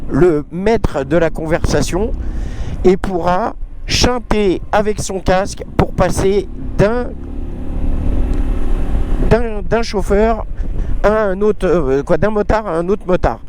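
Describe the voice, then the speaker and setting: A man's voice: high-pitched, slow, pauses often. A man giving a casual, conversational product review, explaining things as he rides a motorbike outdoors. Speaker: vlogger reviewing motorbike intercoms. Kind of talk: casual chatty product review while riding. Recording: noisy outdoor ride, engine and wind.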